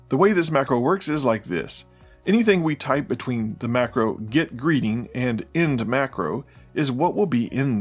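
A middle-aged man, presenting a scripted tutorial in a plain-spoken, explanatory manner. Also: medium pitch. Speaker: host of a smart home tutorial channel.

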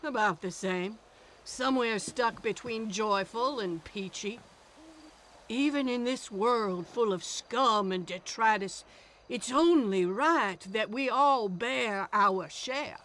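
Slow, bright, flirty bounty broker woman. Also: a little high, a little hiss or hum, poor audio. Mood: wry, affectionate. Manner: unhurried, sunny, wordy. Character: folksy, flirty, cheerful bail bond agent woman. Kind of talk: video game cutscene acting.

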